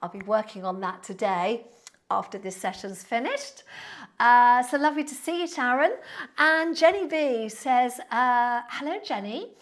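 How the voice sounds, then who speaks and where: A woman's voice: on the high side, expressive, measured pace. A woman of sixty, a Pilates instructor chatting on a live stream, thanking viewers by name in a friendly, rambling way with fillers. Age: 60s. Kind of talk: live-stream chat reading out viewer comments.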